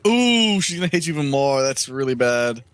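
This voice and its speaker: A man's voice: medium pitch, very animated, normal speed, fairly bright tone, clean tone. A man chatting casually as he reacts to a game on a stream. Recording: music behind the voice.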